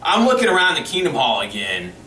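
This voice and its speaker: A man with a bright, forceful voice tells a comic story with a mock-serious delivery and dramatic pauses. He sits some way from the mic in a roomy space, with faint noise behind him. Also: fairly high pitch, huge pitch swings. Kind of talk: animated comic storytelling to camera. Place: small indoor room, seated before camera. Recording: roomy, distant mic with background hiss.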